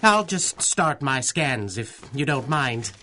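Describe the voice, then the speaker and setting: Fussy, courteous older doctor. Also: male voice, medium pitch, very animated, takes it slowly, bright, forceful tone, a little hiss or hum, full character voice. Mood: courteous, flustered. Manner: courteous, avuncular, hesitant. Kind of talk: 1930s-style radio drama acting.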